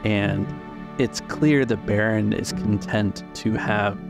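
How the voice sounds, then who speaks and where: A man working as game master, improvising narration for a tabletop roleplay with halting, measured phrasing. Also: lowish voice, fairly even intonation, vocal fry. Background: music.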